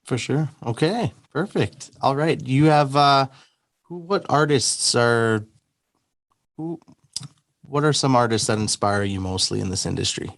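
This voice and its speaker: A man's voice: medium pitch, measured pace, no room sound, studio quality. A man hosting a casual interview, upbeat, asking questions with some restarts. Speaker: interview host.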